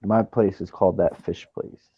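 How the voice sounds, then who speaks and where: A man's voice: sits low, steady tone, moderate pace, slightly rough, no echo. A man around thirty on a gaming headset, chatting casually and rambling with fillers. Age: 30s. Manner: laid-back, rambling with fillers. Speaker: streamer. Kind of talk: casual chat. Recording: dull lo-fi stream audio.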